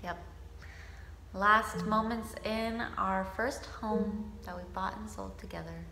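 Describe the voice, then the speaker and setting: Female vlogger, bright forward voice, wry and informal chat to camera. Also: medium pitch, steady tone, takes it slowly, gravelly, slight background noise.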